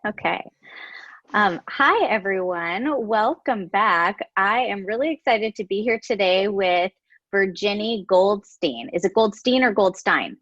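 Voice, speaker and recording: A woman's voice: mid-range voice, lively intonation, unhurried, fairly bright tone, no echo, muffled recording. A woman hosting an interview over a video call, upbeat and chatty as she introduces her guest. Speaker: podcast host.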